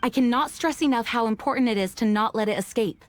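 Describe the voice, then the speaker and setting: A woman's voice: a little high, fast, soft background music, lightly acted. A woman playing a dutiful, resolute young knight commander in a video game, speaking earnestly and formally. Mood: solemn. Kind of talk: scripted video game voice acting.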